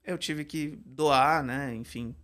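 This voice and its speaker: A man, a writing teacher telling stories in a casual podcast chat, animated and laughing often. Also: mid-range voice, slow pace. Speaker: Portuguese and creative writing teacher, guest.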